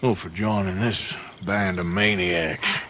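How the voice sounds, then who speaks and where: An actor playing a gruff, weary but loyal cowboy outlaw in a video game, speaking in a laconic, dry way. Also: male voice, sits low, fairly even intonation, slow, bright, forceful tone, slight background noise, exaggerated voice. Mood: wry, resigned. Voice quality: gruff, husky. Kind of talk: naturalistic video game acting.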